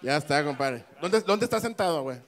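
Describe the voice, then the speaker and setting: A middle-aged man with a Mexican Spanish accent works the crowd from a small club stage, speaking into a handheld mic. His voice sits high, and his tone is brash and teasing, full of swearing and sudden loud shouts. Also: expressive, a little fast. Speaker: stand-up comedian working the crowd. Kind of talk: crowd work stand-up. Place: small comedy club stage with live audience.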